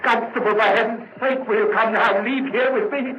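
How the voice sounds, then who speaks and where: An actor playing a nervous, guilt-ridden murderer who stammers and grows agitated, in old-time radio drama style. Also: male voice, extremely high pitch, fairly even intonation, fairly quick. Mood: frantic. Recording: muffled old radio recording, loud noise.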